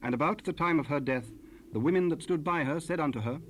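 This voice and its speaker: A man's voice: on the high side, quick pace. A man narrating scripture in archaic English for a dramatized Bible recording. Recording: noisy raw cassette rip.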